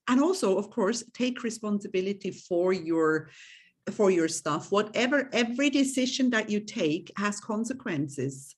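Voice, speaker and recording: A woman from Switzerland, a guest in an interview, speaking earnestly and repeating key points for emphasis. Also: a little high. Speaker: guest, coach and author. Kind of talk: interview conversation.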